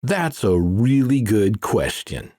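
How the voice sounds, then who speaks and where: A man's voice: on the low side, very animated, slow pace, warm tone, dry recording, studio quality, voice pushed up, heavily acted. A man voicing an exasperated guy who talks in casual, clipped phrases with forced cheer, as an audiobook character. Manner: clipped, casual, falsely merry.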